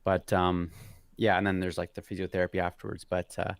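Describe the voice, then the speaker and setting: A man's voice: sits low, monotone, brisk, vocal fry, dry recording, studio quality. A man in his thirties, a guest on a video call, talking hesitantly with many fillers and restarts. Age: 30s. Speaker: guest mountain biker. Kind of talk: casual interview chat.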